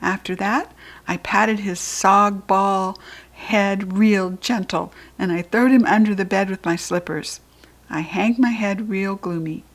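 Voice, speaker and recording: Expressive American woman reading a children's book. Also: mid-range voice, measured pace. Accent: General American.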